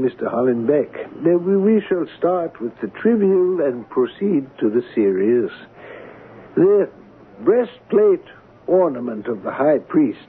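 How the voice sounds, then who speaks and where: An actor playing a courteous, devout, scholarly male art appraiser in a radio drama, speaking formally and with measured care. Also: medium pitch. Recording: muffled vintage radio broadcast.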